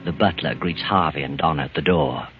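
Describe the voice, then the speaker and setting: A very deep, sinister radio narrator. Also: male voice, a little fast. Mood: grave storytelling. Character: sinister, mysterious all-knowing night-walking storyteller. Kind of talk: 1940s radio mystery narration. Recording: dull old radio recording, loud music behind.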